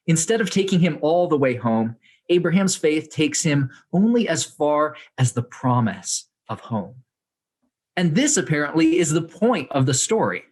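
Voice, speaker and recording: A young adult man from the United States, reading aloud from his own book to an audience in a measured way with dry humor. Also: fairly high pitch, big pitch swings, breathy edge. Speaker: author reading and speaking to an audience.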